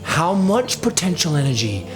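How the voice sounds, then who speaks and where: A man's voice: fairly high pitch, big pitch swings, normal speed, pitched above the performer's own voice, low-quality recording. A man voicing a moody eighteen-year-old son who is sarcastic, righteous and insistent, in a dramatic audiobook. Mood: taunting, angry. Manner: mocking, insistent.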